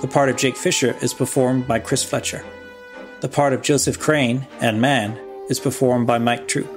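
A man's voice: medium pitch, fairly even intonation, normal speed. A man hosting a podcast, reading a scripted show promo and credits. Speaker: podcast host. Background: music.

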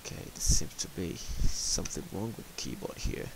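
A man with a very deep voice presents a step-by-step tech tutorial. He speaks in a plain, instructional manner with some hesitation. The voice-over is a home recording with a faint hiss running under it. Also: lively intonation, moderate pace. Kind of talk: step-by-step screen tutorial narration. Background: clear background hiss.

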